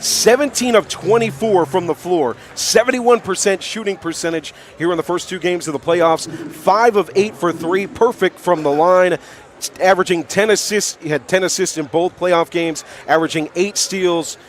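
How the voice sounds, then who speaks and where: Higher-pitched American man calling basketball. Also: moderate pace, slight background noise. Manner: emphatic, rattles off statistics. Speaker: sports radio play-by-play host. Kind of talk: stat-heavy pregame basketball commentary.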